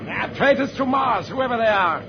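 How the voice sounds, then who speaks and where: Fanatical Martian captain, very high male voice, barking, radio serial acting, muffled noisy vintage recording. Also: animated, moderate pace. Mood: barking, hostile. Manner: barking, defiant, military.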